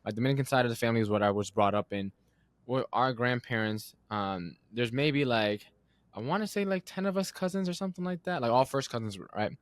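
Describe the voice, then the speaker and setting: A young man hosting a podcast, relaxed and chatty, with easy slang. His voice is mid-pitched and recorded close and dry, with no room sound. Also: a little fast, dry recording. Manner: relaxed and conversational, uses slang. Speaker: podcast host. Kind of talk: casual interview chat.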